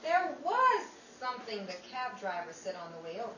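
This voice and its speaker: An actress as an earnest, wide-eyed small-town newspaperwoman in an old-time radio comedy. Her voice is high and swings about with puzzled questions, heard from a distance in a noisy room. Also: wildly swooping pitch, fairly quick, pushed and bright, exaggerated voice. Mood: naive, chatty. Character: naive, earnest small-town young woman reporter. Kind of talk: 1930s radio comedy acting. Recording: roomy, distant, noisy amateur recording.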